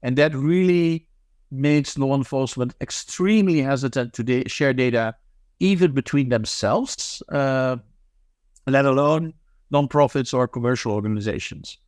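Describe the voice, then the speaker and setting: Man, resonant clear voice, halting interview answers, fillers and restarts. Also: medium pitch, dry recording, studio quality. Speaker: guest, managing director of an anti-scam nonprofit. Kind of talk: interview answers, thoughtful and hesitant.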